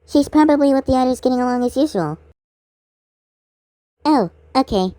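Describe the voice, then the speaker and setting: High girly cartoon voices by a woman, fan made, swings up and down a lot, no room sound. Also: animated, moderate pace, dark tone, no echo. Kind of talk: amateur fan voice acting of animated characters.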